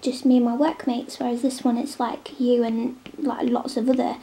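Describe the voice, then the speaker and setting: Young woman, high voice, gravelly fry, stuffy with a cold, chatty and soft, home table recording. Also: level tone, moderate pace, a little hiss or hum. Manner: chatty, drifting between soft near-whispers and normal talk. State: snotty with a cold. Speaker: vlogger drawing on a tablet. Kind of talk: casual chat while drawing. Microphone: camera on the table.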